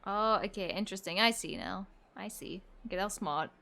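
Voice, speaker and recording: A woman's voice: mid-range voice, fairly quick, creaky, bright, forceful tone. A woman giving casual, chatty reaction commentary while playing a game, laughing often and using lots of fillers. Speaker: gaming streamer.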